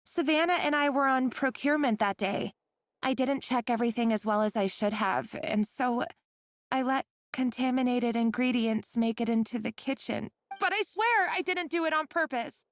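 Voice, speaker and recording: A woman's voice: a little high, no room sound, close to an everyday voice. A woman playing a timid, stammering kitchen girl. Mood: remorseful. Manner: halting, stammering and tearful. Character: young female kitchen worker, timid and guilt-ridden. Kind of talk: game voice acting. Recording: game audio with soft music.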